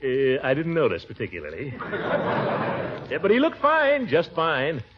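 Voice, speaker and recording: A man's voice: on the high side, huge pitch swings, normal speed. A man playing a pompous, blustering middle-aged uncle in 1940s radio sitcom acting. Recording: dull 1940s radio broadcast with faint hiss.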